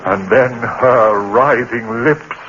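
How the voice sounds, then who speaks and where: A man narrating a radio horror drama in the first person, frantic and haunted, with sudden jumps into high pitch. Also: slow, a bit bright. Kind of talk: 1930s radio horror acting. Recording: dull old radio broadcast with faint hiss.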